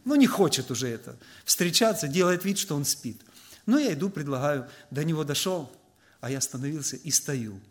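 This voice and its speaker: A man's voice: fairly high pitch, animated, brisk. Male preacher, tenor-ish, informal storytelling delivery, leans on fillers. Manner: conversational, anecdotal, frequent filler "vot". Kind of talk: storytelling sermon to a congregation.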